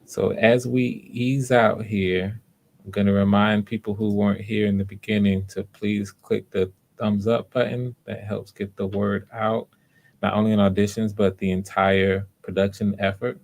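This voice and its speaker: A man hosting live online auditions, talking casually to viewers in an unhurried, explanatory way, thinking aloud as he goes. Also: fairly low pitch, level tone, gravelly, darkish tone. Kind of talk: casual livestream talk to viewers.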